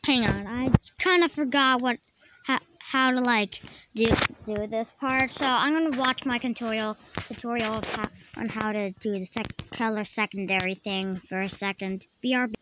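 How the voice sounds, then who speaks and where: A tutorial host casually explaining software steps in a halting way, often repeating and rephrasing. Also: mid-range voice, steady tone. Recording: dull lo-fi microphone, clearly noisy.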